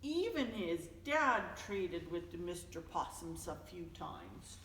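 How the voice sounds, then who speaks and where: A woman reads a children's story in a big reverberant space, a little away from the mic. Her delivery is unhurried and expressive, with a very animated rise and fall as she tells it to young listeners. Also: on the low side, a little hiss or hum. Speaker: storyteller, host of a story time. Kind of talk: children's picture book read-aloud. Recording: echoey, reverberant room, distant mic.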